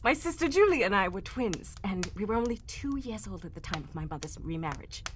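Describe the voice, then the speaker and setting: A woman playing an anxious young gentlewoman telling her family tragedy in a live old-time radio drama. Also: mid-range voice, sing-song, brisk, background noise, lightly acted. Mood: grave, troubled.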